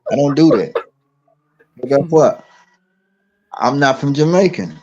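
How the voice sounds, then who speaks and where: Guy from dallas, husky mellow voice, keeps repeating himself, dull lo-fi stream sound. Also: medium pitch, expressive, measured pace. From Dallas, Texas. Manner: repetitive, emphatic storytelling with many restarts. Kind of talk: casual live-stream chat.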